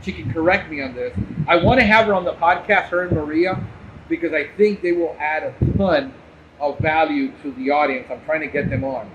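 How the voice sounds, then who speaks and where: High-pitched American man, muffled noisy recording. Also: fairly quick. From Cuban, grew up in New Jersey and Miami. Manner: blunt, combative. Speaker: podcast host. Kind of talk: casual unscripted live commentary. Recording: muffled, loud background noise.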